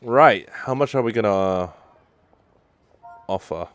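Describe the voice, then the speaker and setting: A woman's voice: rumbling low, lively intonation, takes it slowly. A woman giving casual let's play commentary, musing aloud with short murmured reactions. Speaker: gamer reacting to a game.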